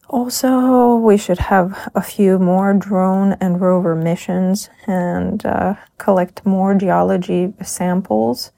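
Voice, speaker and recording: Low, gravelly woman giving a measured log. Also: mellow. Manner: thoughtful, measured, earnest. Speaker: crew member in a Mars simulation habitat. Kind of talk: reflective audio log.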